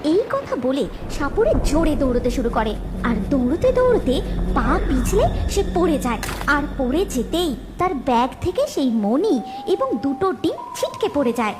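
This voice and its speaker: A woman's voice: high-pitched, big pitch swings, moderate pace, background noise. A woman giving a scripted narration of a fairy tale cartoon as its storyteller.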